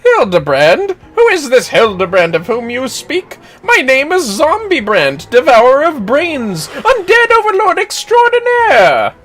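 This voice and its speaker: A man voicing a confused, grandiose undead gentleman inspector in a game, declaiming in a pompous, theatrical way. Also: very high voice, huge pitch swings, measured pace, hardly any pauses, pitched above the performer's own voice, full character voice.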